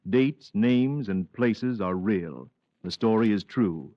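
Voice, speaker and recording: A man's voice: lowish voice, animated, slow, no room sound. A man giving a formal closing announcement for a radio program, on a dull old recording. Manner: formal, declamatory.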